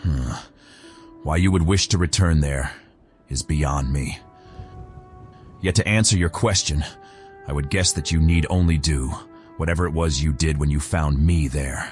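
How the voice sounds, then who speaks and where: A man performs an aloof, cryptic fantasy game counsellor with an extremely deep voice. He talks formally and at a measured pace. Music plays behind him. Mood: pensive, measured. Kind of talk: scripted fantasy game dialogue.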